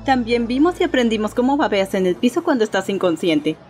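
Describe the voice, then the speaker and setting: A woman's voice: on the high side, sing-song, fairly quick, darkish tone, faint music behind. A woman with a Latin American accent playing a dry, sarcastic, cool-headed young con artist in a game fan dub. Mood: dry, teasing.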